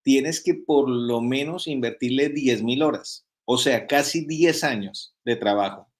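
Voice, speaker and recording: A man's voice: mid-range voice, unhurried. A man, a pastor and author, guesting on a video call interview and speaking in an earnest teaching tone full of rhetorical questions. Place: home in Spain.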